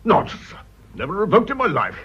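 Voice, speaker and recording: An actor playing a cantankerous elderly English lord in a radio period comedy, gruff, curt and irritable. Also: male voice, high-pitched, huge pitch swings, a little fast, fairly bright tone, heavily acted. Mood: exasperated. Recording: slightly muffled radio drama recording.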